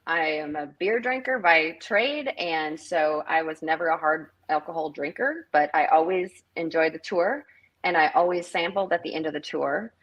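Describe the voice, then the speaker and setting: A woman's voice: deep voice, normal speed, lo-fi sound. A middle-aged woman from northern Minnesota, a festival director chatting as a guest over a video call, rambling with many fillers. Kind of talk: casual interview chat.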